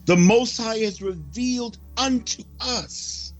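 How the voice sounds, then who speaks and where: A man reading prophecy as a sermon, solemn and declamatory, with dramatic pauses. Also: sits high, faint music behind. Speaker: preacher reading a prophecy report.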